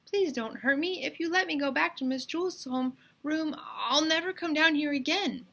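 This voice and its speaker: A frightened young schoolboy from a children's storybook, played by a woman reader. The voice is thin, high and bright. He pleads nervously yet has a brave streak. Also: moderate pace, vocal fry. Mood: pleading. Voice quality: thin, chirping.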